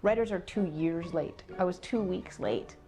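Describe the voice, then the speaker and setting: A woman's voice: on the low side, moderate pace, dark tone, faint music behind. A woman screenwriter casually telling an anecdote in an interview, acting out quotes.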